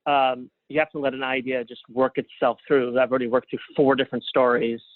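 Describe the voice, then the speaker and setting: A man speaking as an interview guest in casual conversation, with a reflective, halting way of phrasing things. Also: medium pitch, level tone, dry recording. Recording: thin phone-like line.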